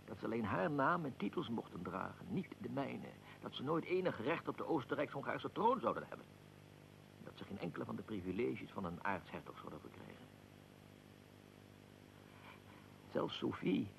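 An actor playing a proud, aggrieved middle-aged archduke in a radio drama, speaking formally and deliberately with a gravelly voice. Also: male voice, mid-range voice, a little hiss or hum, poor audio. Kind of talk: radio drama, historical figure being interviewed.

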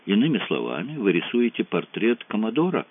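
Brief: a playful young woman in an audiobook, read by a man, lowish, dry phone-like sound. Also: very animated, brisk. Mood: teasing. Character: young woman, cheerful and teasing.

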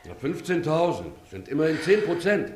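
A man's voice: on the high side, very animated, moderate pace, echoey room, slight background noise. An actor playing a crude, teasing man who is a guest in a couple. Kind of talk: radio play acting.

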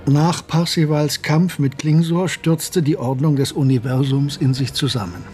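An actor playing a reflective, betrayed older man in a radio drama, telling his story in a measured, literary way. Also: fairly high pitch, steady tone, a little mellow, soft background music, close to an everyday voice. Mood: solemn, rapt. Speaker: narrator and lead character. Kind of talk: German radio drama monologue and dialogue.

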